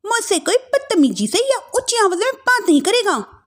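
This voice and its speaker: A woman voicing a bold, clever, cheeky young sister-in-law in an animated family story. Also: very high-pitched, moderate pace. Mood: bold, demanding. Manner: cheeky, assertive, theatrical.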